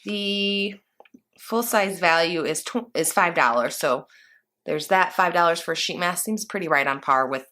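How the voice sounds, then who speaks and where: A woman's voice: lowish voice, a little slow, sharp tone. A woman vlogger chatting casually while unboxing a beauty box, a little congested, rambling with fillers. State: congested from allergies. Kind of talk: casual unboxing chat to camera.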